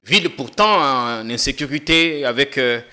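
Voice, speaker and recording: A man in his thirties hosting a political talk show in a TV studio, questioning his guest briskly. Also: medium pitch, animated, sharp tone. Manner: brisk, probing interviewer. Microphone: desk microphone.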